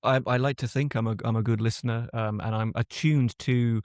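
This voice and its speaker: British man, guest author, reflective and measured, mid-pitched, recorded dry and close. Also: steady tone, darkish tone, no room sound. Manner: thoughtful, reflective, often restarts sentences. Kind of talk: conversational interview answers.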